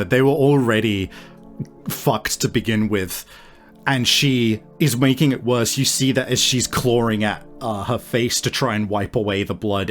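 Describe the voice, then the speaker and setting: Slow, dramatic male game master. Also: fairly low pitch. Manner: halting, dramatic, vividly descriptive. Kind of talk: improvised tabletop game narration.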